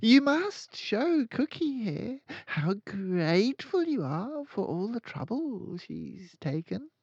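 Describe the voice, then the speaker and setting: Man putting on a high, bellowing tyrant headmistress, the Trunchbull. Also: sing-song, breathy edge, no echo, dull audio, exaggerated voice. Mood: crafty, goading. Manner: shouting, drawn-out commanding delivery. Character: Trunchbull, tyrannical bullying headmistress, loud and menacing woman.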